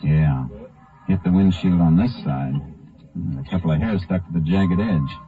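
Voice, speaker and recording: Deep, terse Texas Ranger. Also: male voice, measured pace, soft background music. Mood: businesslike. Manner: terse, understated, businesslike. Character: calm, dogged male Texas Ranger investigating a killing. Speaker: lead actor and first-person narrator. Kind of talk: 1950s radio drama acting. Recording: muffled old 1950 radio recording.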